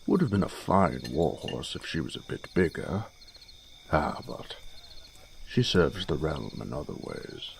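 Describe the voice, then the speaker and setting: A man playing an older fatherly lord in a fantasy audio drama, genial and courtly in a measured way. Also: extremely low pitch, sing-song, gravelly, a little hiss or hum, full character voice. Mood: proud, genial.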